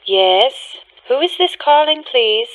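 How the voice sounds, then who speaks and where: A woman's voice: sits high, expressive, takes it slowly, phone-quality sound. An actress playing a composed, formal woman answering a household telephone in a suspense radio play, polite and matter-of-fact. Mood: grave, matter-of-fact.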